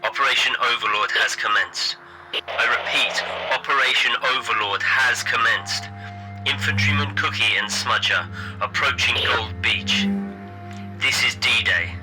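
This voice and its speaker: An actor playing a formal wartime military reporter in an audio play, clipped and urgent as if over radio. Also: male voice, medium pitch, measured pace, low-quality recording. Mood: formal, grave. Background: music.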